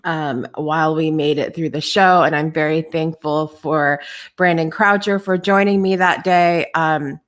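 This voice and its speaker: A woman hosting a live podcast, talking in a casual, candid way with lots of ums and restarts, her voice hoarse and lower than usual. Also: steady tone, unhurried. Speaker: podcast host. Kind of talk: casual live-show chat.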